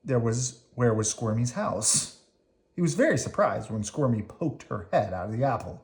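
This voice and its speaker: A woman reading a children's storybook aloud in an expressive, dramatic way. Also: a bass voice, normal speed. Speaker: storybook reader. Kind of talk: children's read-aloud narration.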